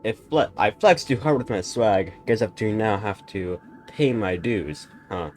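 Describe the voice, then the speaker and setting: Male streamer, lowish voice, casual playthrough commentary, faint music behind. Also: expressive, a little slow, a little mellow.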